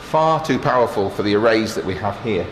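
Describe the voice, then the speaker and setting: Male tour guide, mature, low pitch, plain explanatory delivery, echoey room with light noise. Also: expressive, a little fast. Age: middle-aged or older. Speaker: station engineer giving a guided tour. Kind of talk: informal technical tour narration. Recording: roomy echo with faint background noise.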